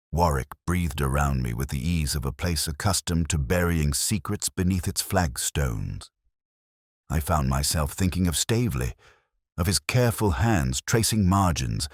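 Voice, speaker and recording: Casting: male actor for weary detective narrator, extremely low voice, solemn and measured yet with lively rises and falls, mystery audio drama. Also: dry recording, studio quality, heavily acted. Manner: measured, solemn, literary delivery. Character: world-weary, reflective male English police detective narrator. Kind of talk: scripted first-person dramatic narration.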